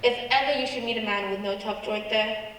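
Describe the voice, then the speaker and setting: A woman's voice: a little high, a little fast, fairly bright tone, slight background noise, lightly acted. A woman playing a secretive, frightened foreign secret agent in a radio play. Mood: urgent, secretive. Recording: very echoey hall, distant mic.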